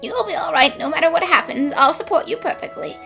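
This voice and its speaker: A woman voicing a kindly, devoted veteran bank manager in a cartoon fan dub, gentle and reassuring. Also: high-pitched, animated, brisk, vocal fry, music in the background. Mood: tender. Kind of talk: animated mystery fan dub acting.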